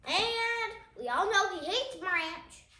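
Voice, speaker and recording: A high, young child's voice reads a storybook aloud at a slow, halting pace. Casual side comments break up the reading, and the room around the voice is echoey. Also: animated, pushed and bright. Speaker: child reader, host of a reading channel. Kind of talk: reading a picture book aloud with casual asides.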